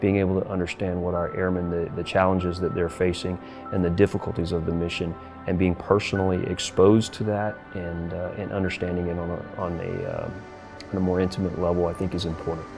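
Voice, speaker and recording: A middle-aged man, a military commander, speaking earnestly and haltingly in a documentary interview. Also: low-pitched, gravelly, over music. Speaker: air force wing commander and fighter pilot.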